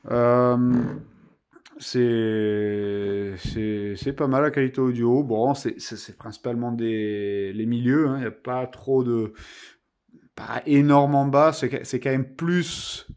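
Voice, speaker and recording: Male reviewer, soft dark timbre, informal and self-deprecating, chatting through a hands-on demo with the odd mild swear. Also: medium pitch, steady tone, takes it slowly, smooth. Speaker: product reviewer. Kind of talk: casual hands-on review chat.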